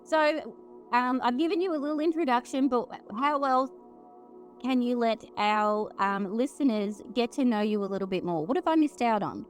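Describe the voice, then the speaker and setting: An Australian woman hosts a casual podcast chat, bubbly and talkative. Her voice sits a little high. Faint music plays softly beneath. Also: moderate pace. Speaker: podcast host.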